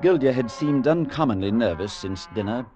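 An actor playing a compassionate, steady clergyman who narrates in a measured, formal way, in muffled old radio drama with music behind. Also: medium pitch, lightly acted. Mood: grave, compassionate. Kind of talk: 1940s radio drama acting.

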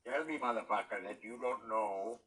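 A foul-mouthed, swaggering old-school movie producer, as a man puts him on, all bluster and tough-guy boasting. His voice is bright and sharp, and it sounds set back from the mic. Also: fairly low pitch, moderate pace, distant mic, close to an everyday voice, low-quality recording. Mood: boastful. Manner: profane, blustering, streetwise swagger.

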